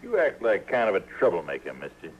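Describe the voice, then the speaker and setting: Swooping, nervous frontier bartender. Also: male voice, sits low, huge pitch swings, quick pace, husky, heavily acted. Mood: suspicious. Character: jumpy, nosy small-town frontier bartender. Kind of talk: old-time radio western acting. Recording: very noisy, dull old radio recording.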